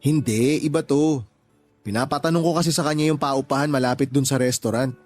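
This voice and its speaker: Actor as a supportive, mid-pitched young boyfriend. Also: male voice, steady tone, fairly quick, a little mellow, soft background music, close to an everyday voice. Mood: helpful. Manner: reassuring, conversational. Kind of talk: Tagalog radio drama dialogue.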